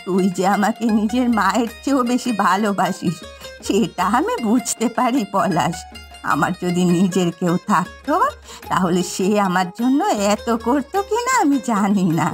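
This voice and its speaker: A woman's voice: medium pitch, animated, moderate pace, faint music behind, lightly acted. A woman dubbing a frail, plaintive old village granny in a melodramatic cartoon. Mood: grateful, soothing.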